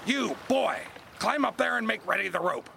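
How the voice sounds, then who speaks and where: An actor playing a cruel, domineering ship captain in a nautical audio drama, with a commanding, menacing manner and archaic sailor speech. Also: male voice, sits high, animated, moderate pace, gravelly, bright, forceful tone, a little hiss or hum, full character voice.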